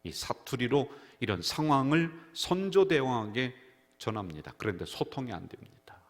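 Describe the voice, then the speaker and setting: A man's voice: mid-range voice, animated, moderate pace. A man, the senior pastor, preaching a Sunday sermon in a church sanctuary, telling stories and acting out the people he quotes. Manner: storytelling preacher who acts out quoted voices. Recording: reverberant church hall.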